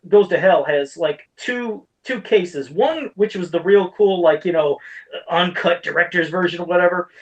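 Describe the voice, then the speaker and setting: A woman's voice: on the low side, rough recording. A woman in her thirties hosting a casual pop culture podcast, talking in an opinionated, rambling way peppered with swearing. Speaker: podcast host.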